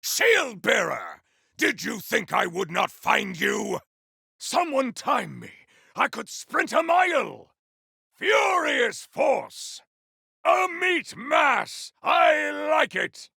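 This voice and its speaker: Casting: zealous video game warrior, rough raspy forceful voice, grandiose archaic delivery, slow and declamatory. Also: male voice, very high voice, big pitch swings, no room sound, clean studio sound, heavily acted. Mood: battle fury. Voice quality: gravelly, forceful. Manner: theatrical, archaic, declamatory battle cries. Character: bombastic, righteous warrior on a holy quest, zealous and grandiose. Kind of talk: video game combat voice lines.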